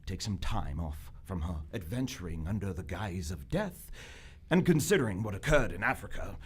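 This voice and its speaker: Actor as dutiful, very proper gentleman emissary for radio theater; precise and formal, husky-edged, with wide swings in pitch. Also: sing-song, moderate pace, exaggerated voice. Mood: stiff, dutiful. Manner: formal, deferential yet insistent, precise. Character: stuffy, dutiful, unflappable gentleman envoy of Victorian Britain. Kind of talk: live radio theater acting.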